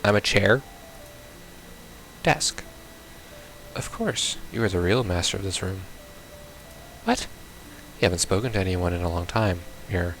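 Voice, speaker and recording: A man's voice: deep voice, a little slow, pauses often, a bit bright, slight background noise, rough recording. A man playing a visual novel, reading its text aloud casually with baffled, blunt asides. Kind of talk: casual let's play, reading game text aloud with confused reactions.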